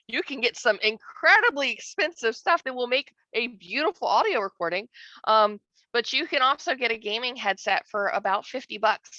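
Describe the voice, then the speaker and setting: A woman's voice: mid-range voice, pushed and bright, no echo. A woman co-presenting a webinar, chatty and practical with many fillers, on a gaming headset. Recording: dry, dull headset audio.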